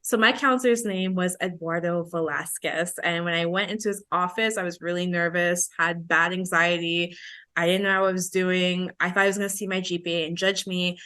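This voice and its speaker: A young woman in her twenties with a bright, forward voice. She co-hosts a podcast and speaks casually, like telling friends a personal story, slipping into remembered dialogue as she goes. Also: lowish voice, fairly even intonation, brisk. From Belize. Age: 20s. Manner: chatty, informal, acts out remembered dialogue. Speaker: podcast co-host.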